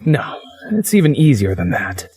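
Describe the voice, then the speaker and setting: Man playing a lively, cocky young hero. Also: medium pitch, normal speed, husky, faint music behind. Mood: incredulous. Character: cocky young man narrating, sceptical and dramatic. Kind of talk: visual novel narration and dialogue.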